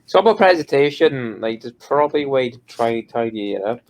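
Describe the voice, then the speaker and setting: A man's voice: medium pitch, animated, brisk, slight background noise. Stammering Welsh man bantering. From Wales. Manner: stammering, restarts words in bursts. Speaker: co-host. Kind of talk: casual group banter.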